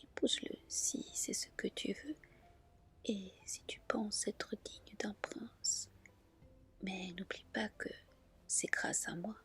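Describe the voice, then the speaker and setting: A woman's voice: mid-range voice, steady tone, slow pace, fairly bright tone. A woman voicing a bossy, stern female dragon creature in a whispered fairy tale, commanding and reproachful. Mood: grudging, reproachful.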